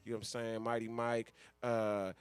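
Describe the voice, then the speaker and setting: A man's voice: medium pitch, level tone, moderate pace, clean tone. A man hosting a radio show in casual, slangy talk, repeating his phrases for emphasis.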